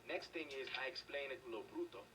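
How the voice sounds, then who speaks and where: An actor playing a menacing, cocky young street thug in a video game, bullying and slangy. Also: male voice, medium pitch, brisk, bright, forceful tone, recorded from a distance, a little hiss or hum, close to an everyday voice.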